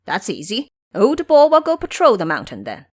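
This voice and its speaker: A woman's voice: medium pitch, huge pitch swings, moderate pace, a little mellow. A woman voicing a foolish, lazy male pig monk in an audio drama, complaining and blustering. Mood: dim, obliging.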